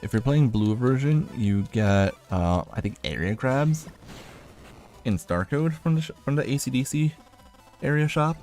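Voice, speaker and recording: A man's voice: mid-range voice, lively intonation, measured pace, a little mellow, soft background music. A man giving casual video game commentary as a streamer, rambling and thinking aloud as he plays.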